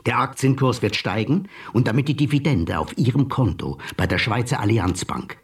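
An actor playing a cool, mocking male blackmailer in a radio play, smooth and unhurried. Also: medium pitch, expressive, recorded from a distance, close to an everyday voice. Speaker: blackmailing hacker visitor. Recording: voice set back from mic, music behind.

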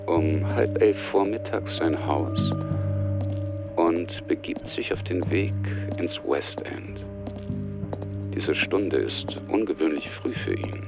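Deep, gravelly, unhurried male narrator. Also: animated, muffled recording, loud music behind the voice. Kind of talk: present-tense scripted radio drama narration.

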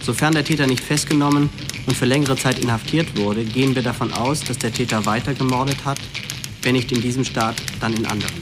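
An assured criminal profiler in a radio play, acted by a man. He reads a report in a measured, clinical way at medium pitch. Prominent music runs underneath. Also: close to an everyday voice. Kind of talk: radio drama, formal report reading.

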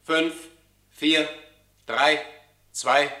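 Official announcer, slow and sharp, echoey loudspeaker, radio drama. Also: male voice, on the high side, steady tone, exaggerated voice. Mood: loud, official.

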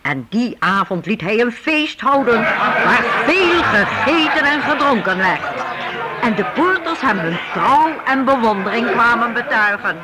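An expressive, reflective narrator telling a scripted historical radio drama with emphatic phrasing. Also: mid-range voice, a little slow, over a noisy crowd, natural voice, low-quality recording.

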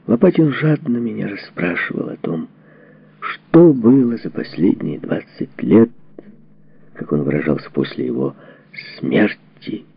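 A man reading a memoir as a scripted audiobook narration, with measured, dramatic phrasing and expressive delivery. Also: lowish voice, gravelly, slightly rough. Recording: dull, muffled lo-fi audio.

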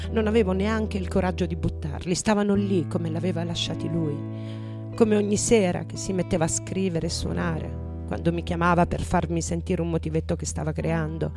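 A woman acting as a middle-aged rock singer in mourning, her voice on the low side. She confides her losses in a reflective, deliberate radio drama monologue, with clear music playing behind her. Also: lightly acted. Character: grieving middle-aged female rock singer recalling lost loves.

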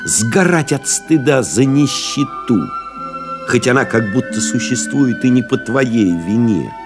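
An actor playing a reflective pilot-writer, narrating memories in a measured, solemn, literary radio-play style. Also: male voice, mid-range voice, animated, over music. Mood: impassioned. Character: reflective male pilot-writer recalling friends and desert flights.

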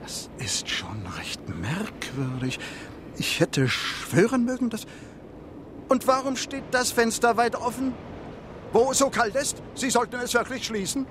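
An actor playing a fussy, officious train official in a radio play, nagging and puzzled. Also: male voice, extremely high pitch, big pitch swings, unhurried, exaggerated voice. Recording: clear train noise behind the voice. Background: moving train noise.

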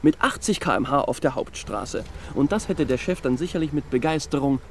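A man's voice: mid-range voice, expressive, a little fast, a little hiss or hum, natural voice. An actor playing a cheeky, thoughtful male police detective in a German radio crime drama, speaking in a wry, conversational way. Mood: teasing.

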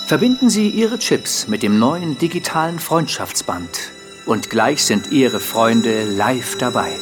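An actor playing a slick commercial announcer with a smooth sales pitch in a futuristic radio drama. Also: male voice, lively intonation, slow, music in the background. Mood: ingratiating. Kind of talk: advertising voice-over.

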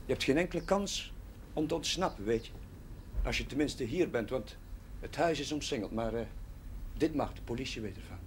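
An actor playing an earnest, patient and compassionate village chaplain in a Dutch television period drama. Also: male voice, medium pitch, lively intonation, brisk, close to an everyday voice. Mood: pleading. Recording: faint background noise, old TV soundtrack.

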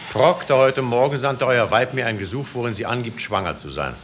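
An actor playing a stern, unbending judge in a 1950s radio drama, speaking formally and with authority. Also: male voice, mid-range voice, fairly quick, fairly bright tone, lightly acted. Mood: stern, measured. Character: stern, unbending male judge of Puritan Salem. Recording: hissy old radio drama recording.

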